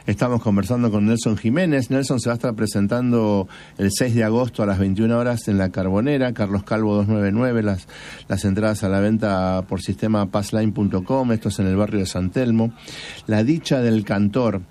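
Man, argentine accent, radio host, mellow tone, halting, rambling questions. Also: lowish voice, fairly even intonation. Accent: Argentine Spanish. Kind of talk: radio interview.

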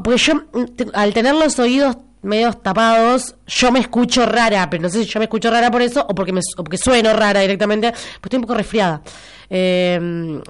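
A woman with an Argentine accent hosting a local radio show, chatty and teasing, with a stuffy nose from a cold. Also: fairly high pitch. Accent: Rioplatense Argentine Spanish. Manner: chatty, teasing, repeats information for listeners. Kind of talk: casual live radio chat with listener messages.

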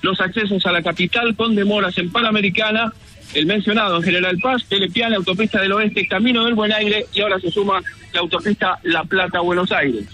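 An Argentine man delivers a traffic bulletin on the radio. His voice sits high for a man and has a bright, forceful edge. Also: steady tone, brisk, poor audio. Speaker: traffic reporter.

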